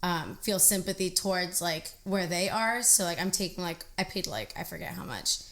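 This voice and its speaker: Bright-voiced woman in her twenties, animated. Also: lowish voice, moderate pace. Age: 20s. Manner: animated, laughing, says "like" often. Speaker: podcast guest, trucker and fitness trainer. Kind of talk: casual interview chat. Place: living room studio on a leather couch. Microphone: desk mic on a stand.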